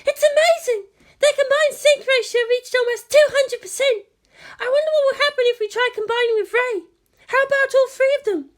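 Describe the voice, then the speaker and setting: A woman's voice: extremely high pitch, moderate pace, pitched above the performer's own voice. A woman voicing an eager, shy young female tech assistant in an anime story, breathless and deferential. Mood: excited. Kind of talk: anime fan fiction character voice.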